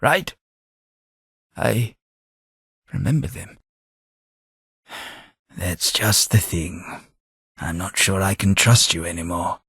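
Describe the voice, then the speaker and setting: An actor playing a formal, polite, long-lived London gentleman in a game, speaking with measured diction. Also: low-pitched, animated, husky, no echo, clean studio sound, exaggerated voice. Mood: hurt. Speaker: game companion. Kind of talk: game voice acting.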